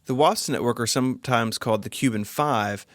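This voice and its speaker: Male podcast co-host, conversational narration, mid-pitched voice, dry close-miked sound. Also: normal speed, dry recording.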